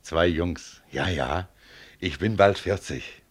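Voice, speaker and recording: A man's voice: low voice, slow, slightly rough, heavily acted. An actor playing a modest, contented tram driver of about forty in a German radio play, plain-spoken, chatty and proud of his work. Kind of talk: German radio play acting, conversational monologue.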